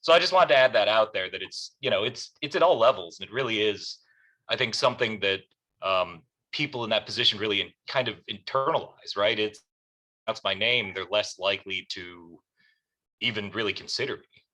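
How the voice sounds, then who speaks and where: A man's voice: lowish voice, fairly quick, sharp tone, low-quality recording. A man speaking conversationally as an audience member, asking and commenting in a tentative way with hesitant phrasing.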